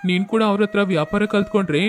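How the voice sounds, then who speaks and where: A man's voice: high-pitched, rapid-fire, close to an everyday voice. A man voicing a young friend who gives sensible, persuasive advice in a cartoon story, with faint music behind him. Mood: advising.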